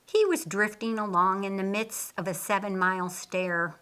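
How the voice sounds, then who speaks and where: A woman narrating a scripted story as an audiobook, reading deliberately and expressively. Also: mid-range voice, steady tone, bright, forceful tone.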